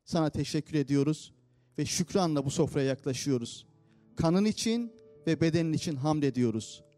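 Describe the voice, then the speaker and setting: A man whose first language is Turkish, speaking English with a Turkish accent as he leads communion in church with an earnest, simple, unhurried manner. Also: fairly high pitch, lively intonation, dark tone, soft background music. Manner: earnest, simple phrasing with pauses. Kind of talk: communion meditation and prayer. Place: church service.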